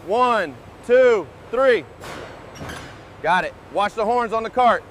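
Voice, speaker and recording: A man's voice: high voice, expressive, slow, noisy background. A man working as a dock hand, calling out short commands to guide coworkers. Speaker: dock worker.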